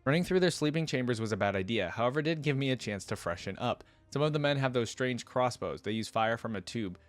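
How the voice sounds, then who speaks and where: A man's voice: mid-range voice, expressive, fast talker, no room sound, soft background music, close to an everyday voice. A man voicing a vain, playful, easily distracted birdlike battle dancer in a flippant first-person story reading. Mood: excited, flippant.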